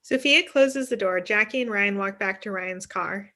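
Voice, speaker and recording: A woman's voice: mid-range voice, normal speed, bright, forceful tone. A young woman reading scripted stage directions as narrator over a video call. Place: indoor room on a video call.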